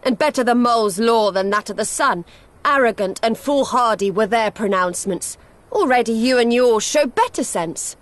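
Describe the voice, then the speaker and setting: Actress as proud female steppe warrior, fairly high voice, blunt, formal archaic lines, fantasy game. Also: lively intonation, normal speed, lightly acted. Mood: proud, challenging. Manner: formal, declarative.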